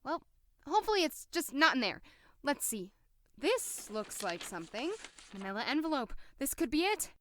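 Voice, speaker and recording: A woman's voice: high-pitched, sing-song, normal speed, fairly bright tone, slight background noise. A woman playing a determined, sly female doctor in an audio drama, polite but pointed. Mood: tense, excited.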